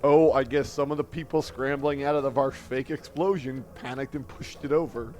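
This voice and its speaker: A man's voice: mid-range voice, fairly even intonation, moderate pace, a little mellow. A man with a blunt, sardonic manner talking casually in a group discussion. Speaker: book club podcast panelist.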